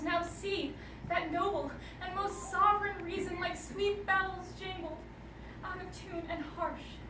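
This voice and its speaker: Woman acting a high-voiced, heartbroken young noblewoman. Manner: slow, lamenting. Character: heartbroken young noblewoman mourning her beloved's madness. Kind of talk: theatrical Shakespeare monologue. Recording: distant mic, echoey, clearly noisy ambience.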